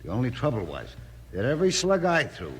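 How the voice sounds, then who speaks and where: A man's voice: mid-range voice, huge pitch swings, a little fast. An actor playing a wary, sardonic outlaw henchman in a 1950s radio Western, tough and complaining. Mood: grumbling. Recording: reverberant cave effect, old noisy radio recording.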